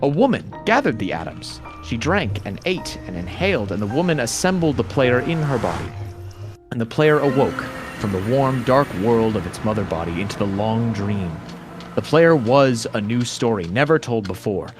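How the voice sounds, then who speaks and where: A man giving a solemn, theatrical reading of a poem in a storytelling style, with deliberate pauses. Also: mid-range voice, sing-song. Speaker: dramatic reader. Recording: voice laid over background music. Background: music.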